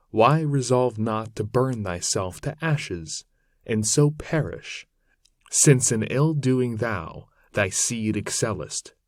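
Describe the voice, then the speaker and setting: Male narrator, epic poetry recitation, slow deliberate pace, animated intonation, solemn, theatrical, archaic English. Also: fairly low pitch, no room sound, studio quality. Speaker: narrator reading poetry aloud.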